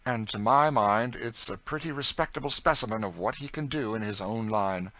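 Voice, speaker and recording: A man playing a witty, teasing young gentleman who banters with his cousin in an audiobook reading. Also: lowish voice, normal speed, no echo, voice pushed up, close to an everyday voice. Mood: impassioned. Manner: bantering, ironic, then impassioned. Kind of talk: audiobook character voice.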